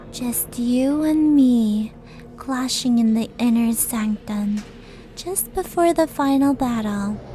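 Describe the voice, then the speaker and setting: Female actor as devil's daughter for roleplay audio drama: high voice, unhurried delivery, mock-sweet, sadistic teasing, music underneath. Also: mellow. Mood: obsessive, wistful.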